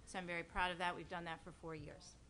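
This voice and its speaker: Woman commissioner; level, sharp-toned, businesslike meeting voice with faint background hiss. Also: fairly low pitch, level tone, quick pace. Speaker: city commissioner. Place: city commission meeting room.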